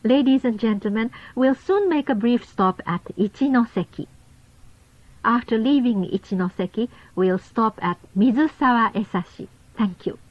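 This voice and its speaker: A woman reading scripted onboard train announcements in a formal, polite, measured manner on a lo-fi cassette recording. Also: a little high, no room sound. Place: recorded announcement played over train speakers. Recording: dull lo-fi cassette tape.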